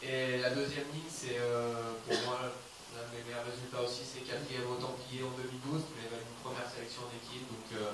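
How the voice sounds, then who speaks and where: A man from southeast France, an athlete giving a halting, matter-of-fact introduction of himself at a press conference. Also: medium pitch, little pitch movement. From Isère, southeast France. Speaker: athlete, national team trail runner. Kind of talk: spoken self-introduction. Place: large echoey room at a press conference. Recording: very echoey, distant mic, noisy.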